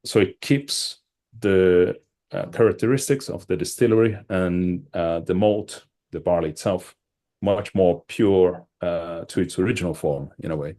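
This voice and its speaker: A man's voice: low-pitched, a little slow, mellow. A man from Brazil answering interview questions over a video call, explaining at length in a thoughtful way with many fillers and restarts. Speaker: wine and whisky shop owner, guest.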